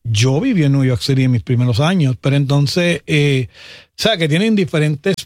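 A fairly high-voiced man with a Puerto Rican Spanish accent chats on a talk show, telling anecdotes and acting out quotes. His voice sits close to the mic, dry and without room echo. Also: normal speed. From Puerto Rico. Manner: animated storyteller, acts out quotes. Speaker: radio host and guest. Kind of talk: casual talk show chat.

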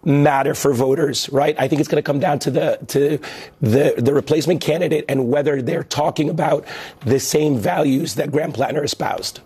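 A man with a hoarse, raspy voice, speaking as a panel guest in a quick, self-deprecating way. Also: fairly high pitch, darkish tone. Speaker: news anchor guest.

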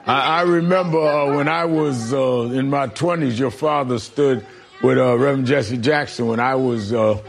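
A middle-aged or older man hosting a political interview, speaking haltingly with a gravelly, husky voice and repeated words. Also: mid-range voice, soft background music. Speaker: political talk show host. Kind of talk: broadcast news interview.

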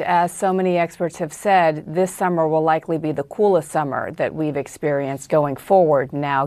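A woman news interviewer with a fairly low voice, recorded close and dry. She asks formal broadcast questions, building up context before each one. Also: darkish tone, no room sound.

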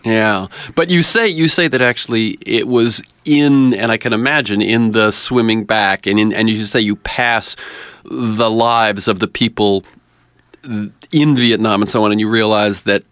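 A man's voice: fairly low pitch, measured pace, a bit bright, smooth, no echo. A man conducts a conversational interview, searching for words and rephrasing his questions as he goes.

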